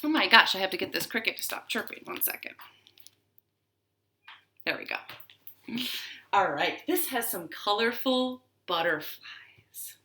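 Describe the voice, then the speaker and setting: Slow, expressive woman reading to young children. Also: mid-range voice. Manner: expressive, deliberate, asks the listeners questions. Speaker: children's librarian storyteller. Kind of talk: storytime read-aloud and rhymes for young children. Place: living room at home. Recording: roomy, echoing living-room sound.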